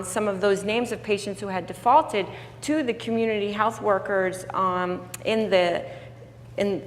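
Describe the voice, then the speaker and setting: A woman's voice: medium pitch, a bit bright. A woman speaking on a conference panel in a reverberant room. She works through her answer with frequent fillers and restarts. A faint hum runs under her voice. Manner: thoughtful, many fillers and restarts. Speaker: panelist from a development organization.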